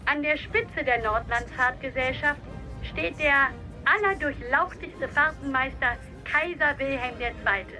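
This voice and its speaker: A ceremonious society announcer in a radio play. Her voice is high and formal, mock-solemn, with music clearly playing behind it. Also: unhurried, low-quality recording. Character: official announcer of the Kaiser's travel society.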